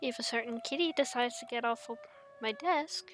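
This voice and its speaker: A woman gives casual live commentary as a game streamer. Her voice is fairly high, and she talks in a blunt, playful, wisecracking way. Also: a little fast, music in the background.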